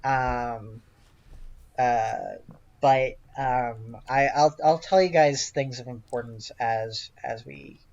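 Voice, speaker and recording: A game master narrates a tabletop session off the cuff. The delivery is halting and hesitant, with a mid-range pitch. The recording is dull and a little lo-fi. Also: steady tone, darkish tone.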